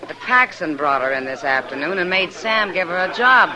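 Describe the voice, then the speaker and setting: A woman's voice: fairly low pitch, expressive, normal speed, crowd noise, lightly acted, low-quality recording. A woman playing a bold, caring frontier saloon woman in a western radio drama, frank and insistent in her delivery. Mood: easy, familiar. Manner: frank, insistent, plain-spoken.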